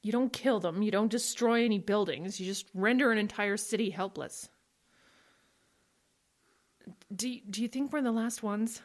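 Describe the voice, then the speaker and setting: A woman's voice: medium pitch, brisk, lightly acted. A woman playing a bitter, sarcastic young woman in an audio drama, blunt and weary in manner. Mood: bleak.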